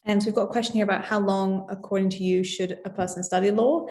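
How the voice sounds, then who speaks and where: A woman's voice: mid-range voice, fairly even intonation, fast talker, dark tone, echoey room. A woman, a law student, chatting casually while answering a question about degrees.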